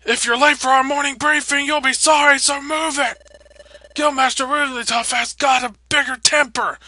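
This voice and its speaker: A man voicing a loud, bossy, impatient monster apprentice from a video game, bellowing his lines. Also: very high-pitched, fairly even intonation, moderate pace, no room sound, pitched above the performer's own voice, heavily acted. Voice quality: loud, shouted. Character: loud, bossy male monster apprentice, barking wake-up calls.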